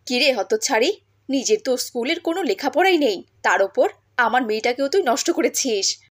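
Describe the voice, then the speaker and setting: Woman acting a cruel, high-voiced scolding stepmother. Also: expressive, brisk. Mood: angry scolding. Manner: bossy, snapping, sarcastic.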